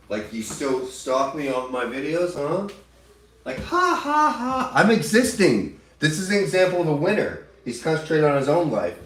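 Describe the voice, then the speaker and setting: Man ranting, echoey and far off. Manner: profane, taunting, mocks critics in shrill put-on voices. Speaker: livestreamer. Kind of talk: angry livestream rant. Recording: echoey room, voice from far off the mic.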